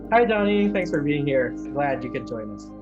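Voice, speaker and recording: A man's voice: a little high, big pitch swings, moderate pace, dull audio, music in the background. A man hosting an interview show, introducing the guest in an upbeat, promotional way. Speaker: podcast host.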